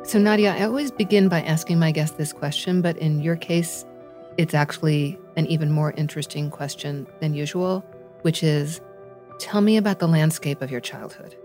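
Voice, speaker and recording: A woman hosting an interview podcast, speaking in a measured, reflective way. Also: deep voice, a little mellow, soft background music. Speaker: podcast host. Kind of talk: scripted intro then interview questions.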